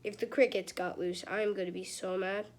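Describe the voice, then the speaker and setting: A woman's voice: medium pitch, steady tone, fairly quick. A woman reading a children's book aloud in a casual, stumbling way, with chatty, informal asides. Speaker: amateur reader of a children's book. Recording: clearly noisy home recording with clicks.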